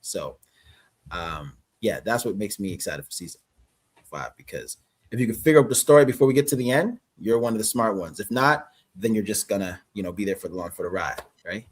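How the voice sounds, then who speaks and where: A man with a Canadian accent, a guest actor chatting casually on a livestream, relaxed and rambling, laughing often. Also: on the low side, a little fast, breathy edge.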